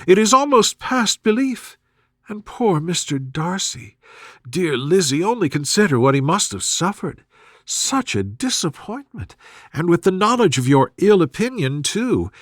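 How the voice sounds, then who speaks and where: A gentle, soft-hearted young gentlewoman from a classic storybook, as a man reads her. She pleads earnestly and pityingly in formal phrases, her pitch high and animated. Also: no room sound, clean studio sound, heavily acted. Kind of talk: storybook character reading.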